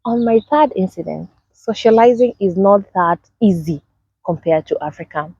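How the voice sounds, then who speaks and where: Kenyan woman vlogging, chatty and animated, dry slightly dull sound. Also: mid-range voice, normal speed, slightly rough, no room sound. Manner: animated, acts out greetings in a lively sing-song way. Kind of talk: casual personal storytelling to camera.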